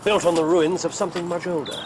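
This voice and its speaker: A man's voice: high voice, steady tone, fast, natural voice. An actor playing a keen, precise, dryly sardonic male detective in an audio drama. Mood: keen, observant. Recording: noisy outdoor background.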